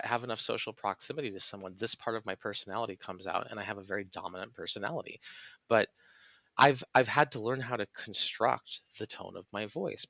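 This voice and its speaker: Bright, creaky-voiced man explaining earnestly. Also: on the low side, no echo, dull audio. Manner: earnest, analytical, long explanatory sentences. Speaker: podcast guest. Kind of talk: reflective interview conversation.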